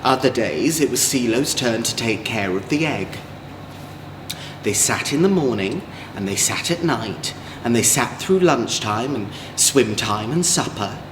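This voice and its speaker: A man with an English accent, a drag queen storyteller, reading a children's story in an expressive, gentle way. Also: mid-range voice, a little slow. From Manchester, England. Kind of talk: children's storybook read-aloud. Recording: echoey room, clear background noise.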